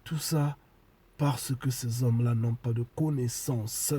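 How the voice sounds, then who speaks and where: A man with a cold preaches slowly and deliberately, his voice husky and mellow. He stresses his points and often repeats key phrases. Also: medium pitch, fairly even intonation. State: congested with a cold. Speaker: spiritual preacher. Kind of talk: video sermon to followers.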